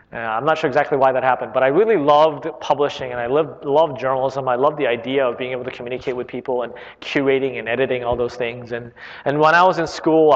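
A man in his thirties, from Korea and not a native English speaker, answering audience questions in a casual, chatty way full of "right?" and "uh". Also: medium pitch. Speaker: internet company chief executive. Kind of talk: live Q&A talk. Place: large public library hall. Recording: dull hall recording with long reverb.

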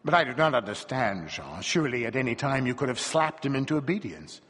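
A man's voice: medium pitch, sing-song, fairly quick, fairly bright tone, slightly rough. A man voicing a vain, gallant circus acrobat and ladies' man in a theatrical, courtly manner, in a story reading. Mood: puzzled, sympathetic. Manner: theatrical, smug, courtly, incredulous exclamations. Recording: reverberant hall with long echo.

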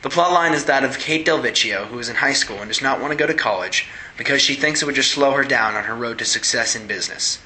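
A man's voice: mid-range voice, fast, few pauses, bright, forceful tone, low-quality recording. A teenage boy reading a scripted book review aloud. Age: teens. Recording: faint background noise.

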